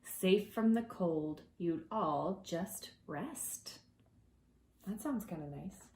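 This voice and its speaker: A woman reading aloud to preschoolers as their teacher, expressive and gentle, drawing out words and adding conversational asides. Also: on the low side, reverberant, noisy background. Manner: gentle, deliberate, drawing out words for young listeners.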